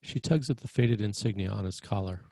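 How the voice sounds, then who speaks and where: A man with a low, husky voice narrates a screenplay. He reads scene directions as scripted narration, and the sound is dry and close. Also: fairly quick, warm tone, no echo. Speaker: narrator reading stage directions.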